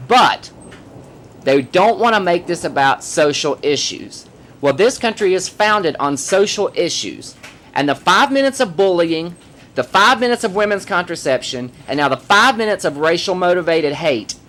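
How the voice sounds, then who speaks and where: A man who performs in drag, hosting his own vlog and giving emphatic, opinionated commentary straight to the camera, hammering points home with repetition. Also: a little high. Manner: emphatic, forceful, repeats points for effect.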